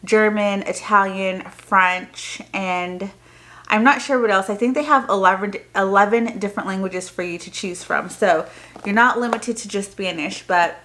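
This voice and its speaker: A woman's voice: medium pitch, steady tone, moderate pace, fairly bright tone. A woman chatting informally to her viewers as a homeschooling parent vlogger, explaining things as she shows them in a casual unboxing style.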